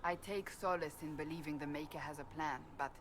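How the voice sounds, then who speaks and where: An actress playing a stern, earnest, devout warrior woman in love, speaking in a grave, formal, measured way in video game dialogue. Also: low-pitched, background noise, natural voice. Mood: sorrowful, grave.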